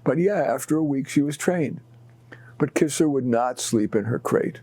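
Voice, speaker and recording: A man reading his short stories aloud expressively, in deliberate short phrases with dramatic pauses. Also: mid-range voice, warm tone, no room sound. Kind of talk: expressive read-aloud storytelling.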